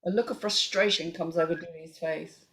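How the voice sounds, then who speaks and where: A woman's voice: low voice, fairly even intonation, normal speed, a bit bright, slight background noise, rough recording. A woman narrating a screenplay, reading out scene headings and stage directions as scripted narration.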